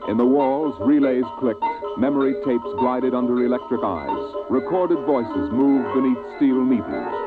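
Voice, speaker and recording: An actor narrating a 1950s science-fiction radio drama as a solemn storyteller, with measured phrasing and weighty pauses. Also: male voice, medium pitch, expressive, lightly acted. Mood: solemn, dramatic. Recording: tinny vintage radio recording, music behind.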